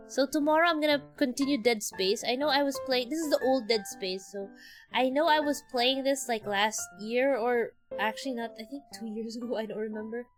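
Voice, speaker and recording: High-voiced Filipino woman chatting on a livestream. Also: fairly bright tone. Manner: rambling, chatty, often trailing off. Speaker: game streamer. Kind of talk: casual livestream chat. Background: game music.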